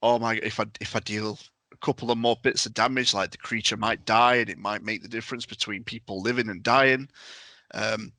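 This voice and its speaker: A man's voice: lowish voice, fairly even intonation, fairly quick, pushed and bright, no room sound. A man on a podcast panel chatting enthusiastically in a casual review discussion.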